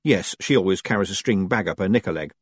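A man's voice: deep voice, fast talker, slightly rough, no echo. A man voicing a stern, bossy and inquisitive young female witch in an audiobook. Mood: curious, matter-of-fact. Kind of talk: audiobook character voice.

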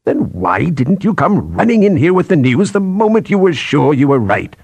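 An austere old man of science, acted by a male audiobook reader, speaks with commanding weight. He is exasperated and emphatic, in a medium-pitched voice with a very dry sound. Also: expressive, normal speed, creaky, a little mellow, husky, no echo. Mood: sharp, probing. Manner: ominous, emphatic.

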